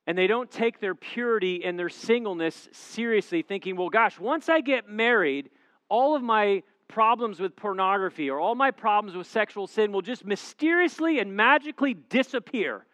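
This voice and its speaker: A man's voice: high-pitched, lively intonation, normal speed, a bit bright, no echo. Emphatic preaching man, dry close sound. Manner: emphatic, repetitive preaching cadence. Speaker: pastor. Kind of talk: sermon. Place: church auditorium before a live congregation.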